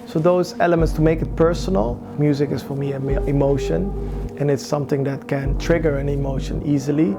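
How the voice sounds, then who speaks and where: Gravelly-voiced man over music. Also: fairly high pitch, steady tone, a little fast. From Somalia. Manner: thoughtful, searching for words, non-native English phrasing. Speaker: choreographer. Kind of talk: reflective interview talk. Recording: music playing clearly behind.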